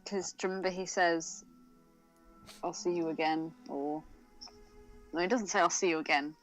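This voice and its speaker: A woman's voice: on the low side, fairly even intonation, moderate pace, soft background music, low-quality recording. A woman co-hosting a podcast in a playful, teasing, casual way. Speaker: podcast co-host. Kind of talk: casual chat.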